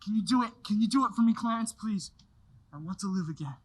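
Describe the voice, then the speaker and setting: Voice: mid-range voice, expressive, quick pace, close to an everyday voice. A performer playing a frantic desperate family man. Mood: pleading. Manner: frantic, pleading, swings from despair to joy. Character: desperate man in his thirties pleading for his old life back.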